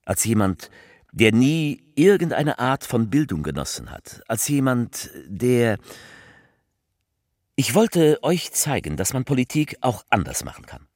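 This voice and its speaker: A man playing a political speechmaker in a theatrical radio piece, addressing the people with halting, emphatic, repetitive rhetoric. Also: mid-range voice, very animated, a little mellow, no room sound, studio quality. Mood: fervent, declamatory.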